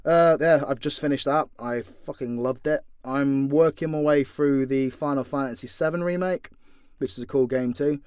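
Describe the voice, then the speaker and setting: A man's voice: mid-range voice, a little mellow, no room sound, clean studio sound. A British man answering fan questions in a casual, unscripted way, blunt and informal with plenty of swearing. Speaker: audio drama creator and voice actor answering fan questions.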